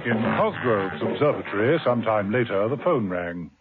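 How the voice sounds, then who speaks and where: A man narrating a radio drama in a measured, vivid storytelling manner. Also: on the low side, expressive, over loud music. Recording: tinny old radio broadcast.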